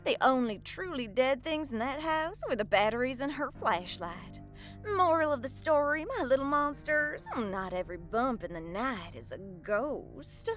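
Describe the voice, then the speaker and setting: A vampy late-night horror hostess, as a woman puts her on, drawling slowly. Her high, breathy voice swoops all over as she teases her listeners with innuendo. Faint music plays behind her. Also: animated, fairly bright tone. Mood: teasing. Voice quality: breathy and purring, with flirty laughs. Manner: innuendo-laden, drawling, teasing. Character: sultry, bawdy female horror hostess, teasing.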